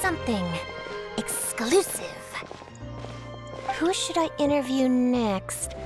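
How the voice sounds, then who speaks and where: A woman's voice: sits high, animated, takes it slowly, loud music behind the voice. A woman voicing an eager young female journalist in a video game, peppy and dramatic. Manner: peppy, dramatic, gasps and exclaims.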